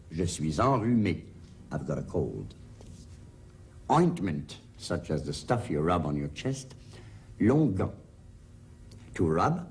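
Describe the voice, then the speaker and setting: A man presenting a scripted vocabulary lesson, enunciating each word and phrase in a measured, deliberate way. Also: low-pitched, a little mellow.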